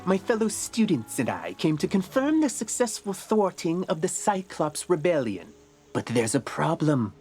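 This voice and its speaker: A woman's voice: lowish voice, sing-song, normal speed, a little mellow, soft background music. A woman voicing a bossy, self-important young wizard student in a video game, with a haughty, theatrical delivery. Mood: scheming, self-important. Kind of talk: scripted video game character acting.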